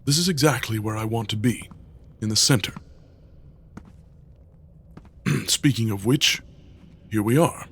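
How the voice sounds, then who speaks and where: A man's voice: on the low side, normal speed, a bit bright, slightly rough. A man playing an irascible, proud, restless inventor in an audio drama, speaking in curt, formal phrases.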